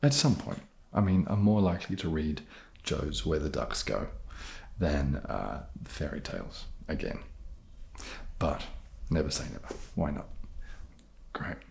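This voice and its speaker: A man chatting softly in an ASMR question-and-answer style, with a low gravelly voice and a hesitant, dry, self-deprecating manner. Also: lively intonation, a little slow, long pauses, slight background noise. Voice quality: low, gravelly, soft-spoken. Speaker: ASMR video creator and host. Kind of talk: soft-spoken ASMR question-and-answer chat.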